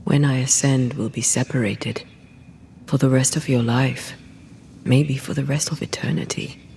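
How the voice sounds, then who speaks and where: An actress playing a solemn ghost woman, a slain ghost-hunter, in a video game cutscene, speaking gravely and often dropping to a hush. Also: rumbling low, steady tone, measured pace, a little hiss or hum, full character voice. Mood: sorrowful, resolved.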